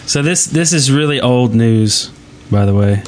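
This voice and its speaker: A man's voice: mid-range voice, lively intonation, a little slow, a little hiss or hum. A man co-hosting a gaming podcast in casual chat and reading out listener mail.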